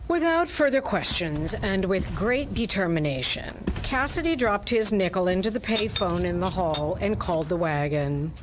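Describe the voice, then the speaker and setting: A woman's voice: medium pitch, expressive, moderate pace, hardly any pauses, background noise. A woman narrating a pulp detective story as a dramatic reading.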